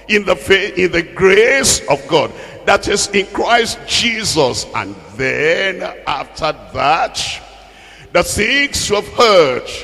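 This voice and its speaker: A man's voice: sits high, creaky, sharp tone. A man preaching a sermon as a Bible teacher, exhorting and repeating phrases for emphasis. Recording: long hall reverb.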